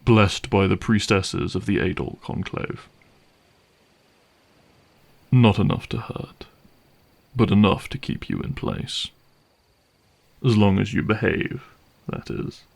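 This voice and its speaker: A man acting a slow, gravelly, menacing vampire hunter. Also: lowish voice, heavily acted. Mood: coolly matter-of-fact. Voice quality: gravelly, creaky. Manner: slow, deliberate, sardonic. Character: cynical, menacing male vampire hunter interrogating a captive. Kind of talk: audio roleplay acting.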